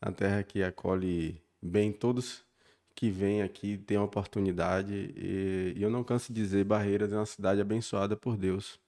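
A man in his forties with a Bahian accent speaks as a podcast guest. His voice sits on the low side, and he tells his story earnestly, often tagging his sentences with little questions. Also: steady tone, moderate pace. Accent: Bahian Brazilian Portuguese. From Bahia, Brazil. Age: 40s. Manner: warm and earnest, frequent tag questions. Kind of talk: casual interview storytelling.

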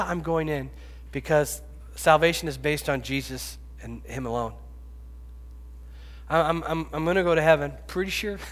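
A man in his fifties preaching a sermon, rising to loud pleading and dropping to hushed whispers. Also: fairly high pitch. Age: 50s. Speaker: pastor. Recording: long reverb, echoey hall.

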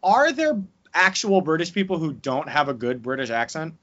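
A man with a New Jersey accent, co-hosting a casual podcast chat and breaking into loud outbursts. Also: fairly high pitch, normal speed. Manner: excitable, bursts into shouting. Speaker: podcast co-host. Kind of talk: casual banter. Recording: dull lo-fi sound.

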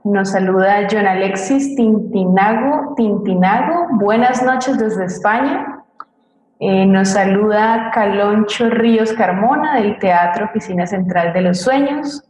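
A woman's voice: medium pitch, takes it slowly, a little mellow. Female co-host, reads audience messages, strong reverberation with faint noise. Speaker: co-host reading audience greetings. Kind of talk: reading out live audience messages. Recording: very echoey, noisy booth audio.

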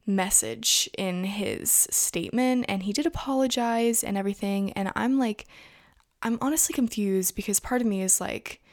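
Chatty young woman with a raspy voice. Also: mid-range voice, normal speed. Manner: chatty, filler-heavy, frequent "like" and "you know". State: raspy voice today. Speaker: influencer news vlogger. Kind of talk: casual gossip commentary to viewers.

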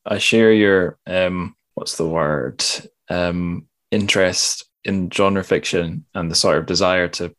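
A man's voice: deep voice, steady tone, slow pace, few pauses, a bit bright. A man hosting a casual interview, speaking tentatively and searching for his words. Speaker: podcast host.

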